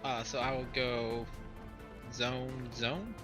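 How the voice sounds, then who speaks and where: A man's voice: medium pitch, fairly even intonation, takes it slowly, music in the background. A man talking casually as a player in a tabletop roleplaying game.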